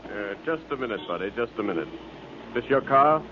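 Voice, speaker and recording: An actor playing a belligerent, slangy street thug in a 1940s radio drama, talking with menace. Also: male voice, medium pitch, animated, a little fast, natural voice. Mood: menacing. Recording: phone-like old radio recording with hiss.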